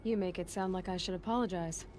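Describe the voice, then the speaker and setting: A woman's voice: fairly low pitch, steady tone, fast talker, lightly acted. Woman playing a wary heroine, noisy ambience behind. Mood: wary, dry. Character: determined, wary young heroine. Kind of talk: dramatic video game dialogue. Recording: game ambience noise behind dialogue.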